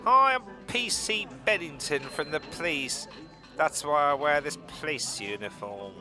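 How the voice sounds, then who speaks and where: A man's voice: a little high, animated, a little slow, music in the background. A man playing an officious, self-important policeman in a radio comedy, speaking emphatically and with exasperation. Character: officious, earnest policeman making an arrest. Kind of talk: radio comedy drama parody.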